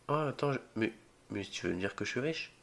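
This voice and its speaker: A young man with a cold, voice a bit rough, joking and getting spooked as he plays a horror game for his channel. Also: mid-range voice, expressive, fairly quick, a bit bright. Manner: jokey, easily spooked, mutters reactions and swears. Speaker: gaming video creator. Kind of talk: solo horror game let's play commentary.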